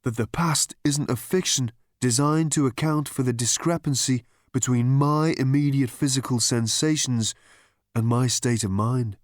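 A gentle, detached hermit philosopher as a man reads him from a storybook. The voice is mid-pitched and close, with little room sound, musing mildly and politely as if doubtful of everything. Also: normal speed, dry recording, lightly acted.

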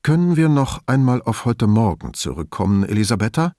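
A man playing a calm, patient male investigator in an audiobook, asking probing questions in a quiet, measured and reserved way. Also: mid-range voice, no room sound, studio quality, close to an everyday voice. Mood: patient, insistent. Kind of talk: audiobook character dialogue.

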